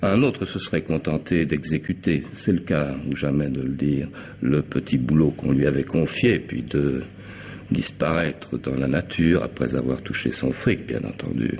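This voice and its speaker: A deep-voiced, menacing, polite killer. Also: male voice, vocal fry, darkish tone. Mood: probing, superior. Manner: deliberate, sardonic, commanding, theatrical pauses. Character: menacing, coolly polite, intelligent male hired killer. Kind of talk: French radio mystery drama acting. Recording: noisy old radio drama recording.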